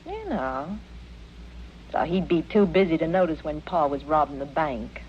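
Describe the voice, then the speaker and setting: A woman's voice: low voice, lively intonation, unhurried, a little mellow. Naive country girl from the old west, plaintive, rural american accent, muffled noisy radio drama. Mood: wistful, artless. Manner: simple, folksy, artless. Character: naive, plaintive backwoods frontier girl, loyal daughter. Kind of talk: 1950s-60s radio western acting.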